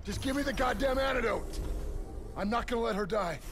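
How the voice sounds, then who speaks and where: An actor playing a desperate, defiant survivor soldier in an intense video game scene. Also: male voice, extremely high pitch, fairly quick, creaky, noisy background. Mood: desperate anger.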